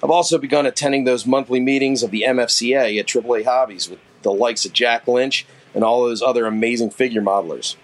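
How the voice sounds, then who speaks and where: A man's voice: medium pitch, fast talker, hardly any pauses. A middle-aged man with a Philadelphia accent, leaving a folksy, self-deprecating voice message for a podcast. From Philadelphia area. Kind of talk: recorded voice message.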